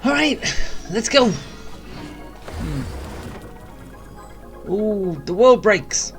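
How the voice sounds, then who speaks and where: A woman's voice: mid-range voice, sing-song, extremely slow. A woman giving casual, wry commentary while playing a video game, in an offhand way. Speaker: gamer commenting on play. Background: game music.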